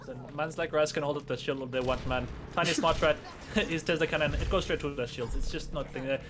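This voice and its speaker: A man casting a live esports match, with fast, playful, slangy banter. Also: on the high side, fairly even intonation, over music. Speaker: esports caster. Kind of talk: live play-by-play commentary.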